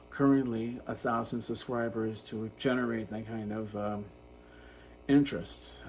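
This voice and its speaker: A man in his fifties giving an unscripted personal monologue in a halting, self-reflective way. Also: on the low side, darkish tone, low-quality recording. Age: 50s. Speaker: video creator talking about personal issues. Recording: noticeable background noise.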